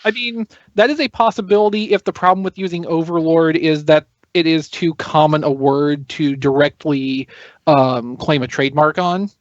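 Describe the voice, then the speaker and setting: A man's voice: a little high, fairly even intonation, normal speed, no room sound, muffled recording. A man on a podcast panel, explaining things casually but analytically and in detail.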